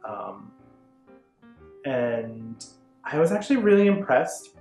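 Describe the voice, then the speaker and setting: A woman with a strikingly low voice shares her views in a casual fan review. She explains herself earnestly, softening points as she goes. The room is echoey, with faint music underneath. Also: very animated, slow. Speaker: review show panelist. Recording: roomy echo, faint background music.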